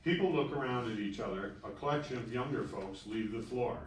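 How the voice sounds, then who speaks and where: A man's voice: mid-range voice, brisk. A man reading stage directions as scripted narration at a screenplay table read. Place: festival table read in a room. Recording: echoey room, distant mic, faint noise.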